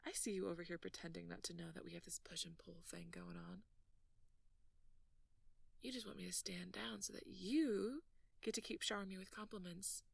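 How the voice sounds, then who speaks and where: A woman playing a nerdy, sleepy, flustered and playfully teasing girlfriend in an intimate ASMR roleplay, speaking softly and haltingly, often near a whisper. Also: lowish voice, vocal fry, husky, dry recording, distant mic, heavily acted. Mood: soft, resigned. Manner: halting, bashful, conversational.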